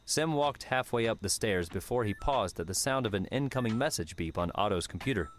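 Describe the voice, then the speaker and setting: A man's voice: on the low side, animated, fairly quick, a little hiss or hum. A man reading dramatic scripted fiction as an audiobook narrator.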